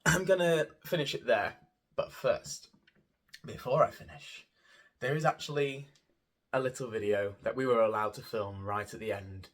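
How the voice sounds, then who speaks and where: A man giving a casual, gushing and rambling review of a theatre show as a vlogger, often repeating words for emphasis. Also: lowish voice. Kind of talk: casual spoken review to camera.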